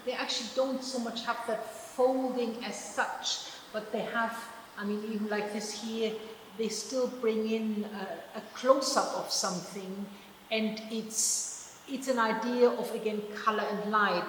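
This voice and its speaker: German-accented woman in an echoey gallery. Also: medium pitch. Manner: thoughtful, hesitant, many restarts. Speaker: artist. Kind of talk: public conversation about her artwork. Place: exhibition project space. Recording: echoey room with long reverb, noise.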